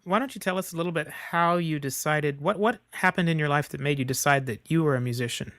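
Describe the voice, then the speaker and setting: Man, radio host, fast, upbeat, friendly questions. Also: on the high side, fairly bright tone, no room sound, studio quality. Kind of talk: radio interview. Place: studio.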